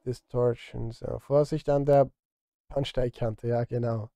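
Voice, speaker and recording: A man's voice: mid-range voice, fairly even intonation, a little slow, dark tone, no echo, lo-fi sound. A man in his thirties chatting casually to livestream viewers while he works, self-deprecating and direct. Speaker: music streamer and composer. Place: indoor home studio.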